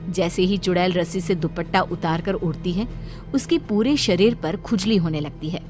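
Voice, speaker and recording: A woman's voice: lowish voice, moderate pace, over music. A woman storyteller reading a scripted tale as narrator for a children's story.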